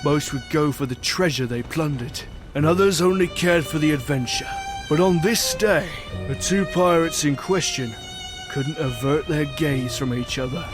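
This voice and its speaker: A man with a fairly high voice tells a scripted adventure tale. His delivery is dramatic storytelling, set over clearly audible music. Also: moderate pace.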